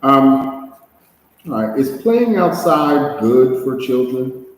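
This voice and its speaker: A man runs a practice speaking test at an unhurried pace, asking one question at a time and answering with short acknowledgements. His voice sits at an ordinary pitch. It rings through a very echoey room with a long reverb tail and faint background noise. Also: very animated. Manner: measured questioning with brief acknowledgements. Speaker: IELTS speaking examiner.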